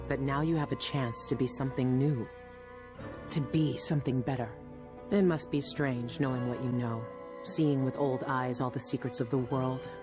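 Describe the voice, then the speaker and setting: A woman's voice: a bass voice, fairly even intonation, moderate pace, music in the background. Weary, earnest former assassin woman. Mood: reflective, gentle. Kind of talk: dramatic video game cutscene acting.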